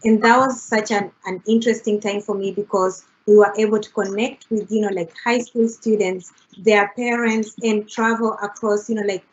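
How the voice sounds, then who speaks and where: A woman's voice: mid-range voice, fairly even intonation, normal speed, hardly any pauses. Young woman on a video call panel, lots of "you know, like", bit muffled. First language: Swahili. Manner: many fillers, leans on "you know, like". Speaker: international student panelist. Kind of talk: panel discussion answer.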